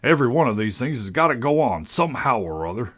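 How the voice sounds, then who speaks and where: A man's voice: medium pitch, sing-song, quick pace, fairly bright tone, voice pushed up. A man voicing a childish, quarrelsome, blustering twin from a storybook, with a contemptuous manner. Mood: brusque.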